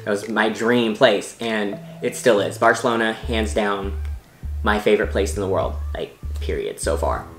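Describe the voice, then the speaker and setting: A man's voice: fairly low pitch, moderate pace. A young American man talking casually to camera as a travel vlogger, rambling with lots of restarts and fillers. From United States. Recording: roomy echo with faint music.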